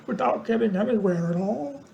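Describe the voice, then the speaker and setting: A woman's voice: a little high, lively intonation, brisk, gravelly, dark tone, lo-fi sound, slight background noise, voice pushed up. A woman reading aloud and voicing a timid male lion who whimpers. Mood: whimpering.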